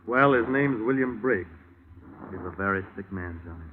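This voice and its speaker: An actor playing an earnest, persuasive chaplain, speaking deliberately and dramatically in old American radio style. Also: male voice, on the low side, lively intonation, lightly acted. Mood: grave. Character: earnest, persuasive male missionary chaplain pleading for help. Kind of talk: 1950s American radio acting. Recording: tinny, hissy old radio recording.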